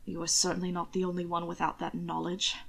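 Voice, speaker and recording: An irritable young nobleman, acted by a woman narrator speaks with dry, clipped formality in a storybook. The voice sits a little low and quiet, proud and sardonic. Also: completely flat delivery, quick pace, gravelly. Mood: annoyed. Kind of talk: amateur audiobook character reading.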